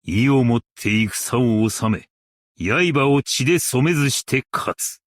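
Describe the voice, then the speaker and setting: A man playing a principled, stern yet merciful scholar-strategist in a game, speaking in a formal, archaic and measured way. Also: medium pitch, expressive, no echo, clean studio sound. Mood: commanding, resolute. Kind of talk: Japanese game character voice lines.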